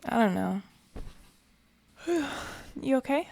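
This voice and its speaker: A woman playing an anxious, caring young fugitive in an audio drama, soft, worried and hesitant. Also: high voice, lively intonation, slow pace, gravelly, exaggerated voice. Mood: concerned.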